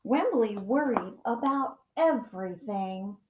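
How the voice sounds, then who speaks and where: A woman teacher reading a picture book aloud to young children, with dramatic emphasis and pauses to ask them questions. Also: mid-range voice, animated, lo-fi sound, background noise. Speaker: pre-K teacher. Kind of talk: expressive children's storybook read-aloud. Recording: echoey room sound.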